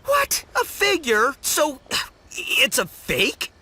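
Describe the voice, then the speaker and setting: A man playing a brash, dim-witted, boastful young oni gangster in a video game, loud and theatrical with slangy bravado. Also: high-pitched, wildly swooping pitch, moderate pace, slight background noise, exaggerated voice. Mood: shocked, outraged. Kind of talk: animated video game voice acting.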